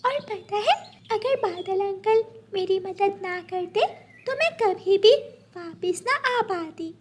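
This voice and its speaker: A woman's voice: very high-pitched, slow pace, slight background noise, voice pushed up. A woman voicing a sweet, chatty little girl bird in a cartoon, with a childlike, eager manner.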